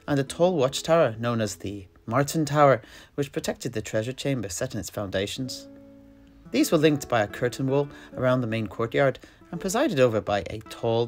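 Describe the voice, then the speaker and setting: A man telling a fantasy history in character, conversationally, with a hesitant, measured, theatrical delivery. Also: mid-range voice. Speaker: in-character storyteller. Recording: music behind the voice. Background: plucked guitar music.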